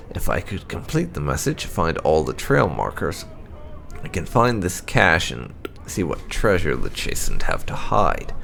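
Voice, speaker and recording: A man narrating game lore notes aloud in a measured, storyteller-like way. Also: deep voice, gravelly. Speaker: gaming video host.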